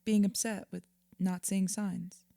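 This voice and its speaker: An actress playing a composed, sympathetic therapist in an audio drama, measured and gently questioning. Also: female voice, mid-range voice, little pitch movement, creaky, dry recording. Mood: attentive, gentle. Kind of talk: audio drama acting, therapy session dialogue.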